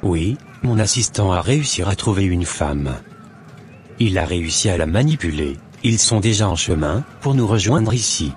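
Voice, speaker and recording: A man's voice: low voice, expressive, moderate pace. A man voicing a scheming would-be false pastor in a French cartoon. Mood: obedient. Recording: noisy ambience behind the voice.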